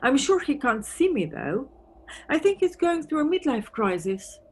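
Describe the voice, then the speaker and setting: An actress playing a wry, wistful married police detective from Antwerp in an audio play, musing conversationally. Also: female voice, high-pitched, big pitch swings, normal speed. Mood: guarded, musing. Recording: noisy recording with background ambience.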